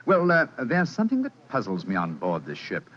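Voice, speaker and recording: An actor playing a keen, courteous detective travelling incognito in an old radio drama, probing politely and precisely. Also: male voice, lowish voice, wildly swooping pitch, fairly quick, fairly bright tone. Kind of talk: 1940s radio acting. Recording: muffled, hissy old-time radio recording.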